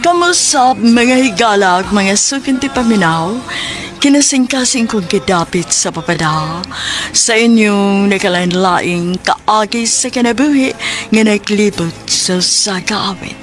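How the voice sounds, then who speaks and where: A woman's voice: medium pitch, animated, measured pace. A woman with a Cebuano Filipino accent hosting a radio drama, reading a scripted introduction in a sentimental announcing manner. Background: music.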